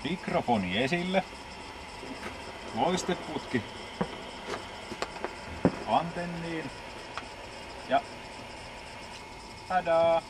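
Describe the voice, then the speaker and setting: A man giving a casual, deadpan, step-by-step demonstration as an amateur video maker. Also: long pauses. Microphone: camcorder microphone. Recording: noisy low-quality old camcorder audio.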